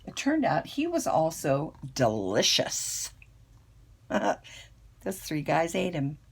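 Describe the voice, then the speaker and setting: An older woman, a grandmother, reading a children's picture book aloud with expressive, storytelling emphasis. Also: deep voice, creaky, a little hiss or hum. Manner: unhurried, dramatic storytelling emphasis.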